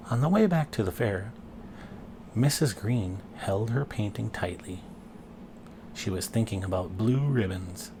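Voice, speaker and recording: A man reading a children's picture book aloud, expressively and with deliberate pauses. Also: low-pitched, noisy background. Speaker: storybook reader and dad.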